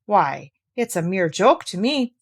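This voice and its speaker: A woman's voice: medium pitch, very animated, unhurried. A woman voicing a small, clever, boastful male tailor in a storybook tale, breezy and sure of himself. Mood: smug. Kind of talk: read-aloud fairy tale.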